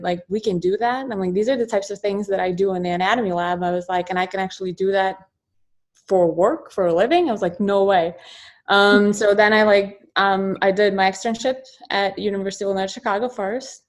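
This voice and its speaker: Woman guest from Ukraine, thirties, chatty and animated, mid-pitched, lots of "um"s, speaking over a video call. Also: brisk. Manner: chatty, animated storytelling with many fillers. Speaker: guest surgeon and professor. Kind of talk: casual interview answers.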